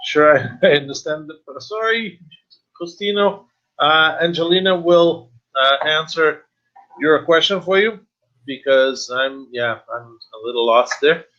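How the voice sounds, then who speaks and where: Halting middle-aged man, dull audio. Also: fairly high pitch, bright, forceful tone. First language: not Spanish, though speaking it at times. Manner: halting, informal, switching between English and Spanish. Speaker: webinar host. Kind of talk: casual webinar talk, reading and answering viewer questions. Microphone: video call, computer microphone. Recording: slightly dull video-call audio.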